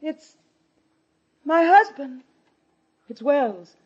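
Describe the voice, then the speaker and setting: A scheming, domineering wife with a brittle edge. Her voice is high and swings widely in pitch, full of bitter old-radio melodrama. The sound is dull and lo-fi. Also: lively intonation, drawn-out words, exaggerated voice. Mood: nervous, evasive.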